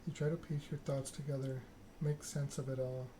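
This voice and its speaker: A man reads narrative game text aloud as ASMR. His delivery is flat and deliberate, broken into short phrases. Clear background noise and clicking sit behind the voice. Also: mid-range voice, rough recording. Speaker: video game streamer reading a story game aloud.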